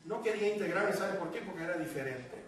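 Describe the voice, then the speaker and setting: A man with a Puerto Rican accent gives a sermon, his voice pitched high and carrying through a very echoey hall. The mic sits some way off, with faint noise underneath. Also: lively intonation, fast talker, distant mic. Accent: Puerto Rican Spanish. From Puerto Rico. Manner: storytelling, acting out quoted voices. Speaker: preacher. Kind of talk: sermon with personal testimony.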